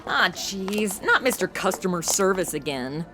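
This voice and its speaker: A woman's voice: mid-range voice, sing-song, a little slow, bright, forceful tone, soft background music. A woman playing a sarcastic, blunt modern sidekick in a comedy audio drama, talking casually. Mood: sardonic. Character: snarky modern young woman tagging along as sidekick. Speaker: show's lead and host.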